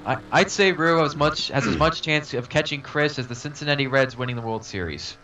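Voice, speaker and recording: A man's voice: on the high side, fairly quick, bright, forceful tone, low-quality recording. A young man cracking teasing, self-mocking jokes as a co-commentator on a simulated race. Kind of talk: casual joking commentary. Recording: noticeable background noise.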